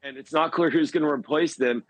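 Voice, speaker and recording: Measured male news analyst, dry close sound. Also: fairly high pitch, no room sound. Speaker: foreign policy commentator, news guest. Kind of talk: live news interview analysis.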